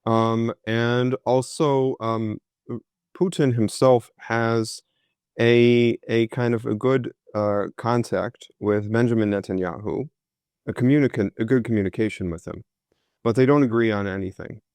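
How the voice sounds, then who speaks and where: A man's voice: mid-range voice, steady tone, measured pace, a little mellow, clean tone, no room sound. A man, a historian answering interview questions with many fillers and restarts. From United States. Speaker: historian guest.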